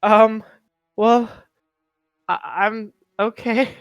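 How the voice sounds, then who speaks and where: A haunted, polite teenage boy, played by a man in a tabletop game. He speaks slowly and haltingly, stammering in a fairly high, clear voice. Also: flat delivery, dry recording, muffled recording. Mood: worried. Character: timid, polite blind orphaned teenage boy, haunted survivor.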